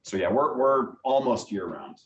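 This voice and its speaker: A man's voice: mid-range voice, lively intonation, normal speed, highs cut off. A man speaking as a guest theater director, explaining things informally and answering audience questions in a conversational, filler-dotted way. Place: meeting room with an audience, also on Zoom. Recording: echoey room, distant microphone.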